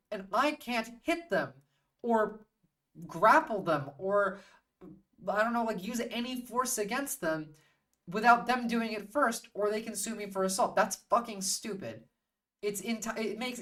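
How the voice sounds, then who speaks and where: A woman's voice: medium pitch, fairly bright tone, recorded from a distance. A woman hosting a livestream in casual, opinionated chat, swearing freely and bluntly as she thinks aloud. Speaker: livestream host.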